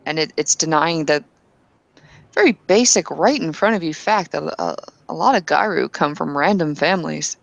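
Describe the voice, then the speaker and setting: A woman's voice: deep voice, moderate pace, vocal fry, no echo, lo-fi sound. A woman playing a tabletop roleplaying game, speaking in character, earnestly and with some hesitant restarts.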